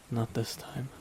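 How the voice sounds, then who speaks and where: A man playing a wry, reflective supervillain in an ASMR audio drama, speaking slowly and softly with wistful pauses. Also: a little hiss or hum, natural voice. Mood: quietly taunting. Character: reflective male supervillain, wry, quietly softened toward his nemesis. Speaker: voice actor in audio roleplay. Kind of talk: ASMR dramatic roleplay monologue. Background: rain.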